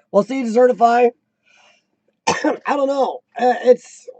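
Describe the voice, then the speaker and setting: A man in his forties hosting a casual live chat, with a high-pitched squeaky voice worn by coughing, who often clears his throat and restarts his sentences. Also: darkish tone, no room sound. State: voice worn from lung illness and coughing. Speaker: host of a live chat show. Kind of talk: casual live-stream chat reading viewer comments.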